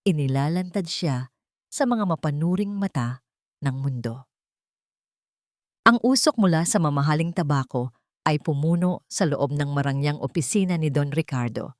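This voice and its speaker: A woman's voice: sits low, expressive, normal speed, long pauses, darkish tone, no room sound, clean studio sound. A woman storyteller reading a scripted, dramatic story narration.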